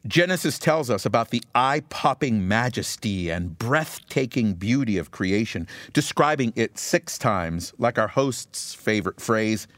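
Slow, measured male preacher. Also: medium pitch, animated, a bit bright, no echo. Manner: slow, measured, emphatic delivery with rhythmic repetition. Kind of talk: scripted devotional message.

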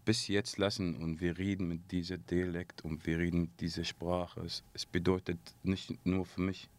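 A musician with an Arabic accent, a man who speaks halting German and English in a casual interview, searching for his words. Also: sits low, flat delivery. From Syria. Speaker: musician guest.

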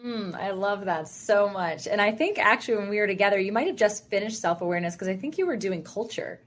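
A woman's voice: mid-range voice, lively intonation, a little fast. A woman hosting a conversational interview podcast, responding in an affirming and reflective way. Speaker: podcast host.

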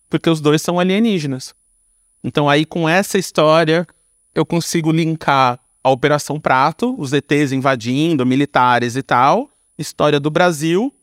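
Higher-pitched Brazilian man, casual and reflective. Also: no echo, clean studio sound. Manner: informal, slangy, explains through examples. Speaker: podcast guest, storyteller and creator. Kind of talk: casual, reflective interview talk.

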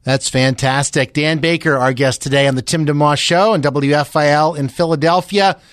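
Higher-pitched American man hosting radio. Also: fairly even intonation, normal speed, fairly bright tone, no room sound. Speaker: radio show host. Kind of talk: conversational radio interview.

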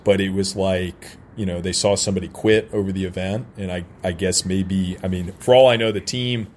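A man's voice: low voice, steady tone, normal speed, a little hiss or hum. An American man from St. Louis, a sports announcer, talking candidly and casually as an interview guest. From St. Louis, United States. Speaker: interview guest, soccer radio play-by-play announcer. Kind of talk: casual interview, personal anecdotes. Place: radio booth at a stadium. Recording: clearly audible background ambience.

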